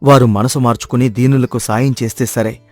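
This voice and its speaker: A man acts a good-hearted thief in a moral tale. He is earnest and remorseful, with a mid-pitched voice in a dry recording with music. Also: fairly even intonation, a little fast, darkish tone, dry recording, natural voice. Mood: resolute. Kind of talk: dramatized moral storytelling.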